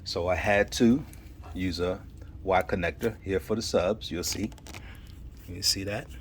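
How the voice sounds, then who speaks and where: A man's voice: low-pitched, measured pace. A man casually narrating a walkthrough of his home setup in an informal, enthusiastic, rambling hobbyist manner. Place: indoor home theater room. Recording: clearly noisy handheld home video.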